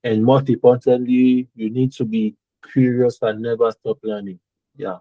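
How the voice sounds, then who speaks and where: A man's voice: medium pitch, little pitch movement, moderate pace, dry recording, phone-quality sound. A young man from Cameroon, whose first language is French, speaking English with a French-speaking Cameroonian accent. He answers interview questions carefully, searching for words and repeating phrases. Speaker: architect guest.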